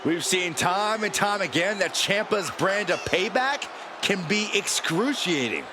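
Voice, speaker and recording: A man playing an excitable pro wrestling play-by-play commentator in a video game, with dramatic, emphatic delivery. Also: high-pitched, unhurried. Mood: excited. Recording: loud arena crowd noise throughout. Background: roaring arena crowd.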